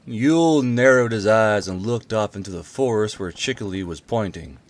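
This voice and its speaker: A man's voice: on the low side, moderate pace. A man hosting and narrating a story, with a casual, offhand way of talking. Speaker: channel host and narrator. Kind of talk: casual intro, then audiobook-style story narration.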